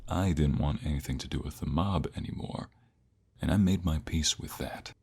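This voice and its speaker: A man as a low, husky protective ex-mobster. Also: normal speed, darkish tone, heavily acted. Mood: defiant. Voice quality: husky, breathy. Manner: earnest, emotional. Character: protective captive ex-mobster, devoted to his fiancée. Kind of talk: scripted audio roleplay acting, ASMR.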